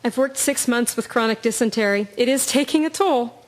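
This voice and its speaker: A woman's voice: on the high side, fairly quick, lightly acted. A woman acting a stoic, weary First World War nursing sister in a radio drama.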